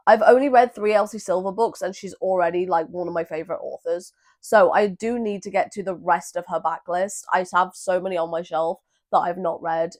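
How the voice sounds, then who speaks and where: Slightly hoarse, chatty woman. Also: mid-range voice. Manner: friendly, chatty, self-deprecating. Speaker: book vlogger. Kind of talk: casual booktube chat.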